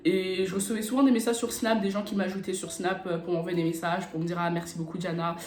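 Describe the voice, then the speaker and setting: A young woman from France telling personal stories in a casual, animated, slangy chat as a video blogger. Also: lowish voice, fast. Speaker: YouTube vlogger. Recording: roomy echo, music bed behind. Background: background beat music.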